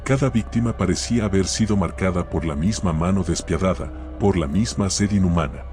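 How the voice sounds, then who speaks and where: Guy reading a scary story, really deep voice, music in the background. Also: brisk, a little mellow. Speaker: horror story narrator. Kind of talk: scripted horror narration.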